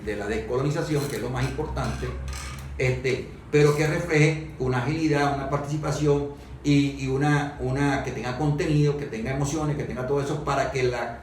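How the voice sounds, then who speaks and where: A Venezuelan man in a political discussion, speaking haltingly and often repeating words as he works toward his point. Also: medium pitch. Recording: very echoey room, distant mic, faint noise.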